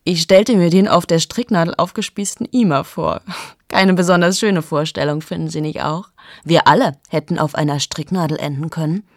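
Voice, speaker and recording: An actress in her thirties playing a cynical, sharp-tongued journalist in a radio play monologue, speaking in sardonic, confiding asides to the listener. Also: low voice, steady tone, normal speed, no room sound, clean studio sound. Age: 30s. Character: cynical 38-year-old woman journalist, sharp-tongued, mocking.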